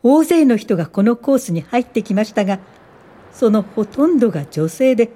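A mellow older woman narrator. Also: a little high, sing-song. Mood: reflective. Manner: reflective, measured storytelling. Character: older woman reflectively recounting her pioneering life. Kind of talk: scripted first-person radio drama narration.

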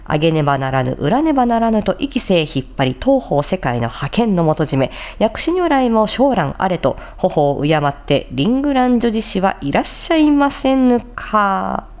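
A woman's voice: medium pitch, sing-song, moderate pace, few pauses, a little mellow, highs cut off. A woman who hosts a tongue-twister challenge like a voice actor, moving between carefully enunciated theatrical recitation and polite, chatty commentary. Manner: polite, chatty asides to viewers.

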